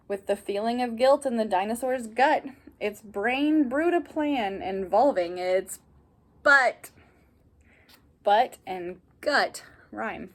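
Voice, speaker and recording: A woman reading a children's picture book aloud like a teacher, animated, with asides and questions to young listeners. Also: fairly high pitch.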